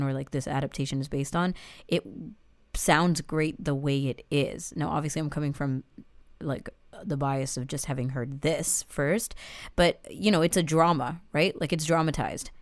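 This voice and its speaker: A woman's voice: low voice, no room sound. A woman co-hosting a casual review chat, informal and inquisitive, thinking aloud as she goes. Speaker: audiobook review show co-host.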